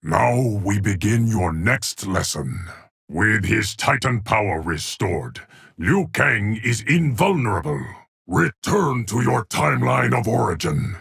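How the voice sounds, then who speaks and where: Game casting: an ageless, solemn keeper of time, low rumbling gravel, dry close sound, measured formal pronouncements. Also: male voice, very animated, fairly bright tone, no echo, heavily acted. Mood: stern, commanding. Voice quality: deep, gravelly, husky. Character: solemn ageless immortal male guardian of time. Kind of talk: video game character voice lines.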